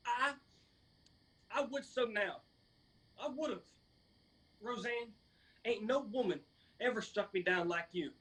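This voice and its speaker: Very slow, sheepish Appalachian lover. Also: male voice, very high voice, sharp tone, heavily acted. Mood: pleading. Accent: Appalachian American. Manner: halting, apologetic. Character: sheepish, pleading young Appalachian man, faithless lover. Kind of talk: radio drama acting.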